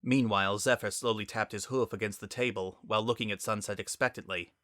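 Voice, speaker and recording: A man's voice: fairly low pitch, steady tone, a little fast, pushed and bright, no room sound, clean studio sound. A man narrating a scripted story as a dramatic reading.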